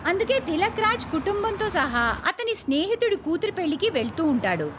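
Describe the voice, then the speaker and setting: A woman's voice: very high-pitched, sing-song, a little fast, noisy background. A woman narrating a scripted moral story as its storyteller.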